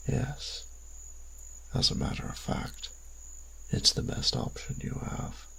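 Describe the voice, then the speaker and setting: A man playing a cold, stern vampire church enforcer in an audio drama, speaking in a grave, measured, formal way with a deep rasp. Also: pushed and bright. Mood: stern, ominous. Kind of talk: audio roleplay drama acting.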